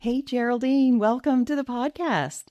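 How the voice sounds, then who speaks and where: A woman hosting a podcast, introducing her guest in a gentle, reflective way and then chatting with her. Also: on the high side, measured pace, dry recording, lo-fi sound. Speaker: podcast host.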